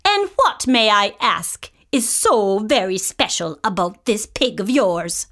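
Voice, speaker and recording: A woman's voice: mid-range voice, lively intonation, slow pace, fairly bright tone. A woman voicing a hearty middle-aged butcher who coaxes a girl to sell her pig. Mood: coaxing. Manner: hearty and coaxing.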